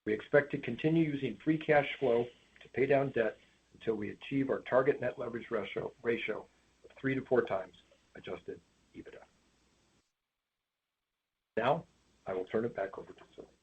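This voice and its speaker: A man's voice: mid-range voice, moderate pace, long pauses, breathy edge. A man presenting prepared financial remarks on an earnings call in a formal, read-out manner. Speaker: company finance executive. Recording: tinny phone line with background noise.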